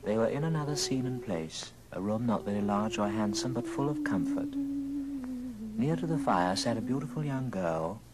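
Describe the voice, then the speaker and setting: A man's voice: medium pitch, very animated, normal speed, heavy background noise. A man narrating a classic story in a dramatic old radio style. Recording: old record with music underneath. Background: music.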